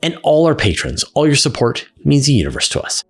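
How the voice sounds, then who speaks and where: A man's voice: medium pitch, big pitch swings, a little fast. A man hosting a science Q&A show, explaining things in a casual, conversational and enthusiastic way. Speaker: host of a space Q&A show. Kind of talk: casual explanatory talk to camera.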